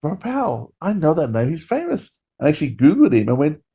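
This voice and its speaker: A man's voice: fairly high pitch, wildly swooping pitch, quick pace, vocal fry, mellow, breathy edge. A man co-hosting a podcast, giving a casual review in an enthusiastic, rambling way. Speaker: podcast co-host.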